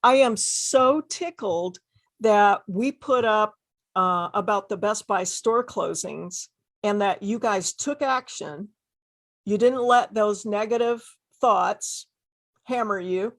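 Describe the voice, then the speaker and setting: A woman's voice: medium pitch, takes it slowly. Female interview host, mid-range voice, enthusiastic and effusive. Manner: effusive, repeats phrases for emphasis. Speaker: host interviewing successful online sellers.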